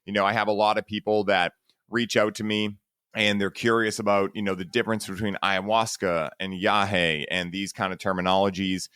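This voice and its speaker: A man with a fairly low voice hosts a casual podcast. He sounds enthusiastic and chummy, talking to his guest like a buddy. The sound is close and dry. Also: little pitch movement, moderate pace, fairly bright tone, clean tone, no room sound. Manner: enthusiastic, chummy, peppers talk with man and dude. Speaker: podcast host. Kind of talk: casual podcast interview introduction.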